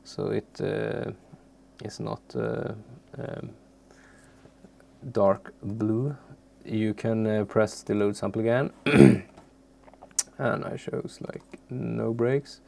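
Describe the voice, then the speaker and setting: A man who is a non-native English speaker presents an unscripted software tutorial in a halting way, searching for words. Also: fairly low pitch, little pitch movement, gravelly. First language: not English.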